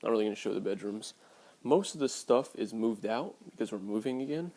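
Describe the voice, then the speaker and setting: Man, early twenties, fairly low pitch, lots of vocal fry, candid casual vlog talk, blunt and profane. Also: expressive, fairly quick, mellow. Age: early 20s. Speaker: vlogger.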